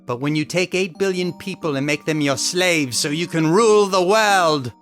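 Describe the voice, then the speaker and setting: A man's voice: a little high, normal speed, fairly bright tone, soft background music, close to an everyday voice. A man playing a suave megalomaniac psychopath villain in a comedy audio drama, speaking with pompous, formal mock courtesy. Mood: exasperated, scheming. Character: suave, theatrical megalomaniac psychopath villain leading a legion from hell.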